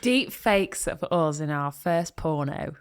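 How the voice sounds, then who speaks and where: A woman's voice: sits low, lively intonation, slow, clear, resonant tone. Giggly woman co-hosting a podcast, telling stories, voice jumps up and down a lot. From United Kingdom. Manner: giggly, storytelling, laughs often. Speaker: podcast co-host. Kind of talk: casual chat.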